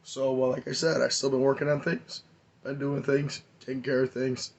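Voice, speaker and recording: A man, hoarse and losing his voice, giving a rambling, enthusiastic hobby update on his model car builds. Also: medium pitch, level tone, moderate pace. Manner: rambling, enthusiastic, many fillers.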